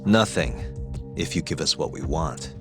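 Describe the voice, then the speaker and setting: A man's voice: very deep voice, animated, unhurried, over music, heavily acted. A man playing a cool, wry, determined detective in a video game cutscene.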